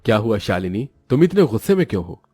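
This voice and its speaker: Husky, patient husband played by a man. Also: on the low side, big pitch swings, fast, darkish tone, no echo. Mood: mild, caring. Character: modest, patient husband of small means. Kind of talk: cartoon voice acting.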